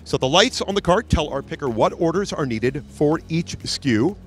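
A man's voice: on the high side, lively intonation, normal speed, a bit bright. Trade-show presenter, man, 40s, salesy and upbeat, handheld mic, faint music under. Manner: upbeat, salesy, pointing things out. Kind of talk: live product demo narration. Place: large convention hall trade booth.

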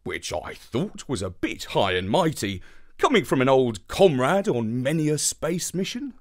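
Man as a slow, very animated storybook dog. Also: a little high. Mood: wry narrating. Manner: expressive, wry storytelling. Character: good-natured male space-dog telling his own tale. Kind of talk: scripted children's bedtime narration.

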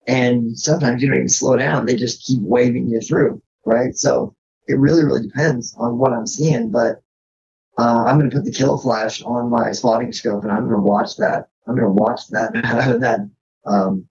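A man with an American accent and a raspy, creaky voice talks casually as an interview guest. He explains in a practical, informal way, often checking that he is followed. The recording is dull and lo-fi. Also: medium pitch, a little mellow. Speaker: guest on a survival podcast.

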